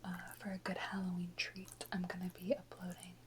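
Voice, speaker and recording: A woman whispering softly and unhurriedly in a casual ASMR chat, close to the microphone. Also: on the low side, monotone, brisk, slight background noise. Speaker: ASMR video host.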